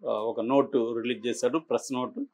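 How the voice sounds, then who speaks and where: A man with a Telugu accent giving conversational political commentary as an analyst, explaining in a halting way and mixing in English words. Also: medium pitch, fairly even intonation, darkish tone. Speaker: news analyst.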